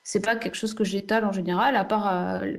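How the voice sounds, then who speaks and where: A woman answering casually in an interview as a podcast co-host, with hesitant, halting phrasing. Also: mid-range voice, steady tone.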